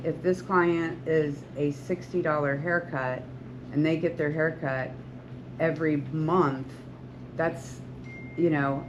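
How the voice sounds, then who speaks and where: A woman in her sixties speaks warmly to a group of students as a veteran hairstylist. She is earnest and encouraging and rambles with frequent restarts. The recording is dull and lo-fi, with clear background noise behind her. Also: low voice, fairly even intonation, takes it slowly. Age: 60s. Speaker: hairstylist and salon owner. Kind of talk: talk to beauty school students.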